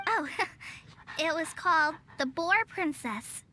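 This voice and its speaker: A timid young ranger girl, high bright voice, slow halting delivery, faint music. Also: lively intonation, slight background noise, heavily acted. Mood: shy. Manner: timid, hesitant, soft-spoken with many fillers. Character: shy young female forest ranger trainee. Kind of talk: video game voice acting. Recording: faint game music behind.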